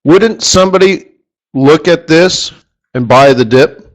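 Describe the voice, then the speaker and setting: A man teaching a live trading lesson who keeps clearing his throat as his voice gives out. He rambles, corrects himself as he goes and repeats a point for emphasis. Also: fairly high pitch, a little slow, poor audio. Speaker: forex trading instructor. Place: studio or office, live stream.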